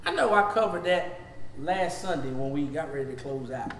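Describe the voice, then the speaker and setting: A man in his fifties with a Southern US accent teaching a Bible study as pastor. He swings from shouting to low talk, recorded in a church sanctuary on a lapel mic. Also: animated, normal speed, roomy sound, in a large hall, background noise. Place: church sanctuary, seated before a projection screen.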